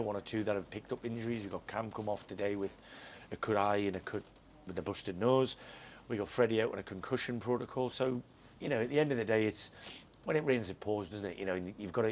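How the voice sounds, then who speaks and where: A low-voiced man gives a frank, reflective post-match interview as a football manager. He circles back over his words as he thinks. There is faint noise behind him from the ground. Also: darkish tone. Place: football stadium.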